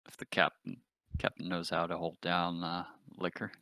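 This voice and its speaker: A man's voice: low voice, monotone, moderate pace, sharp tone, dry recording. A man chatting casually in and out of character during a tabletop roleplaying game.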